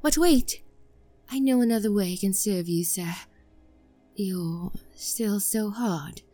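A woman's voice: medium pitch, slow, dark tone, faint music behind. A young woman voicing a clingy, obsessively devoted maid in an audio roleplay, pleading and stammering. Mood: soft whispering.

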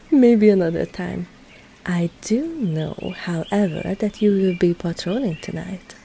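Woman acting coy, slow-speaking flirtatious maid, soft and creaky. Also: fairly low pitch, lively intonation, a little hiss or hum, heavily acted. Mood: playful, coy. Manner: coy, playful, soft breathy asides, slow deliberate phrasing. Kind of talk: intimate roleplay audio drama, partly whispered.

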